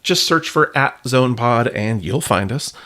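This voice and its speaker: A man's voice: medium pitch, expressive, measured pace, bright, forceful tone. A man hosting a podcast in a casual, wry, self-deprecating and informal way. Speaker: podcast host. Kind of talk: casual podcast talk with read-out news items.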